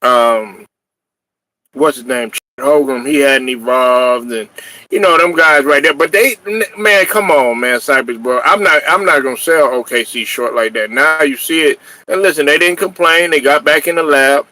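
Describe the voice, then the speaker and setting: A man hosting a casual sports talk show, animated and trash-talking, often laughing and hollering. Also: medium pitch, normal speed, no echo, low-quality recording. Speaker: podcast host.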